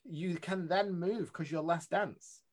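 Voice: medium pitch, fairly even intonation, takes it slowly, darkish tone. An interview host who jumps in eagerly to add asides and quotes, apologizing for interrupting. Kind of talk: conversational interview.

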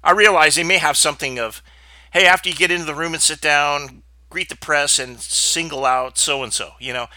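A man's voice: a little high, moderate pace, sharp tone, no room sound. Stuffed-up, blunt male podcast host. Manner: blunt, opinionated, rambling with fillers. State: stuffed up from sinus trouble. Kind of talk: casual solo podcast talk.

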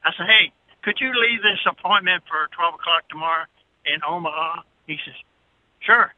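Veteran trucker guest, male, 60s. Folksy, plainspoken storyteller, slightly high pitch, thin phone-call audio. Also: moderate pace, no echo. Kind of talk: casual anecdotal storytelling. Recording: narrow tinny phone-line sound.

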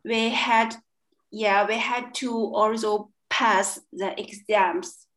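A young woman from China with a Chinese accent, a guest in a casual interview, speaking haltingly with many fillers and restarts. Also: medium pitch, steady tone, a bit bright, poor audio. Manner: hesitant, many fillers and restarts, laughs often. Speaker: guest, language student.

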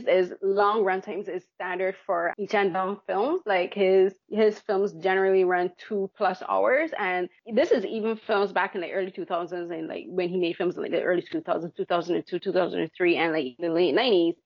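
A woman's voice: on the low side, steady tone, fairly quick, hardly any pauses, no echo, highs cut off. A woman from Barbados, a film critic talking on a podcast in a chatty, self-deprecating way with many fillers.

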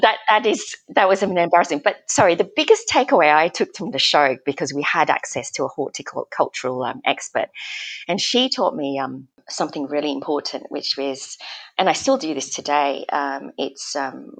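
A woman with a low, warm speaking voice talks as a podcast guest about her garden. She grew up in Australia after a Malaysian childhood, and she chats casually, stumbling over restarts and breaking into laughter. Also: lively intonation, normal speed, breathy edge, rough recording. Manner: chatty, hesitant, many restarts and laughs.

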